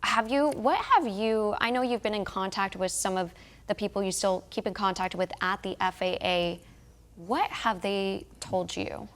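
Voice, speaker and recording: A woman's voice: mid-range voice, normal speed. An American woman working as a news interviewer, speaking in an emphatic yet conversational broadcast manner.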